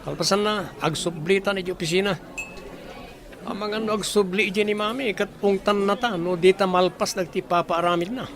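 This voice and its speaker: A man's voice: high-pitched, normal speed, a bit bright. A man playing a resentful, falsely friendly taxi driver with secret plans in an Ilocano radio drama. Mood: sly.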